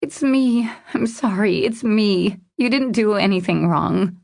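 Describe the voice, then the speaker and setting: A woman narrator voicing a distraught, ashamed young woman in a breathy, cracking, pleading voice for an audiobook drama. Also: on the high side, takes it slowly, a little mellow, dry recording, studio quality, voice pushed up. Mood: choked, apologetic. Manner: pleading, apologetic.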